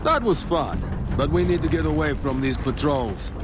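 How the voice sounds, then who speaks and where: An actor playing a dry, sardonic soldier squadmate in a video game. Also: male voice, a little high, expressive, normal speed, noisy background, close to an everyday voice. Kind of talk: video game character dialogue.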